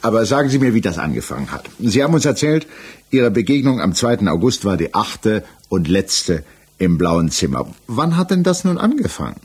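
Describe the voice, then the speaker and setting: An actor in a 1960s German radio play, playing an examining judge who questions in a pointed, insistent, official and impatient way. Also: male voice, on the low side, very animated, moderate pace, a little mellow, husky.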